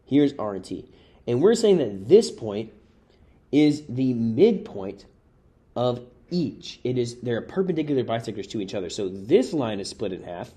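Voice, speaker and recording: Casual math teacher, voice going hoarse. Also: male voice, medium pitch, mellow. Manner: casual, chatty, addresses viewers as guys. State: losing his voice. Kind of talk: worked-example exam review.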